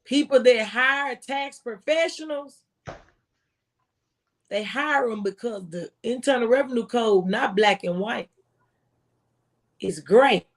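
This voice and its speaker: High-pitched, emphatic American woman. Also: takes it slowly, pauses often. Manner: emphatic, folksy, repeats phrases for stress. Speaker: former IRS agent and tax professional, guest speaker. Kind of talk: impassioned conversational tax advice.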